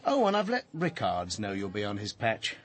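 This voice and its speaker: A man's voice: fairly low pitch, wildly swooping pitch, normal speed, slight background noise. An actor playing a breezy male police colleague, informal and matey, in radio drama dialogue. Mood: chummy.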